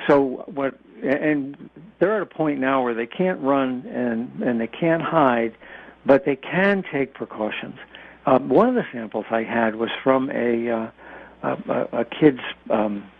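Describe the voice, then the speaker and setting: Older man, halting, on a phone-like line. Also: mid-range voice, gravelly. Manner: halting, explanatory, with repeated words. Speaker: guest nuclear engineering expert. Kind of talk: conversational radio interview. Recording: narrow, phone-like radio line.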